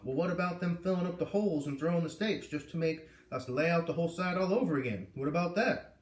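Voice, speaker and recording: A man's voice: on the high side, fast talker, pitched above the performer's own voice, close to an everyday voice. A man reading the part of a grumpy, blunt construction foreman in a storybook. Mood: argumentative. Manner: gruff, blunt, argumentative. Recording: echoey room, far from the mic.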